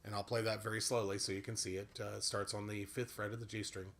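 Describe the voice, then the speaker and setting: A man with a low, big voice talks through a guitar lesson. He explains in a loose, relaxed way with plenty of "uh"s. Loud guitar playing sounds under his words. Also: fast, gravelly. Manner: relaxed explaining with frequent "uh" fillers. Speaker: guitar and voice teacher. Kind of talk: casual spoken lesson over guitar. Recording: guitar playing loudly behind the voice.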